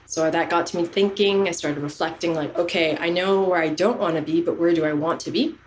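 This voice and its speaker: A woman's voice: fairly low pitch, a little hiss or hum, low-quality recording. A woman in her thirties, raised in Canada, earnestly telling the story of her career as a podcast guest. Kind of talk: casual interview chat.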